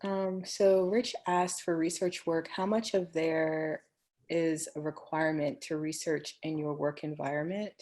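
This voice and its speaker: A woman answering questions in an online webinar, explaining at a measured pace with frequent ums. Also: low-pitched, level tone, darkish tone. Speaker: program faculty answering questions. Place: home office on a video call. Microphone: computer microphone.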